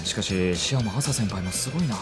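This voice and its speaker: Low, boyish anime teen. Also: female voice, animated, fast talker, music in the background. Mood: impressed. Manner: boyish, dry, blunt retorts. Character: wry, exasperated, good-natured teenage anime boy. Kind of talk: anime radio drama acting.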